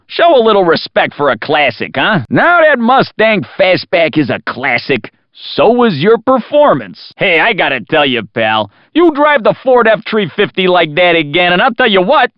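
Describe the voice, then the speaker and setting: An actor playing a cocky, folksy, wisecracking radio announcer who does showy, teasing race commentary in a video game. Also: male voice, sits high, no echo. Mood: scolding, teasing, then impressed, joking. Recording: dry, slightly dull compressed game audio.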